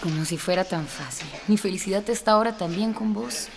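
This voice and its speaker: An earnest young Costa Rican woman played by an actress, mid-pitched and conversational. She sounds like a devoted, conflicted radio drama character, with clear noise behind her. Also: a little fast, natural voice. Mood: torn, affectionate.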